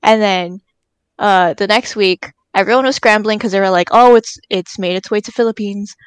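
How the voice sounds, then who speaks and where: A woman's voice: medium pitch, normal speed, clear, resonant tone, no echo. A woman in her early twenties in casual chat, giggly and telling stories with quoted asides.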